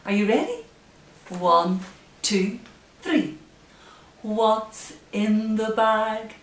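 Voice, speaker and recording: A middle-aged woman presenting story time for young children, expressive and animated, in simple phrases addressed to little ones. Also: medium pitch, drawn-out words. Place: living room, indoors. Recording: roomy, distant camera microphone.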